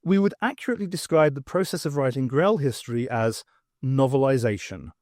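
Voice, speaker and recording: A man's voice: medium pitch, expressive, fairly quick, darkish tone, no echo, clean studio sound. A man narrating a science fiction audiobook in a scripted, storytelling style.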